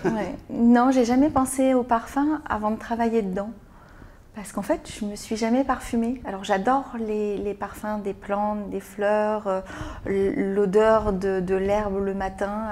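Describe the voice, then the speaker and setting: A woman born in France, an interview guest answering in a thoughtful, halting way with frequent pauses and repeated words. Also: mid-range voice, darkish tone, a little hiss or hum. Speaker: interview guest, business owner. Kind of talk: hesitant conversational interview answers.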